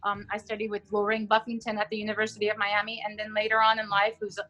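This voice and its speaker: A woman's voice: medium pitch, completely flat delivery, fast talker, low-quality recording. A woman in her forties, born in Cuba, chatting about her life as an opera singer over a video call from home, with many fillers. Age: 40s. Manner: chatty, warm storytelling with fillers. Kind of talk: casual video-call interview. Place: home room. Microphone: video call, laptop camera.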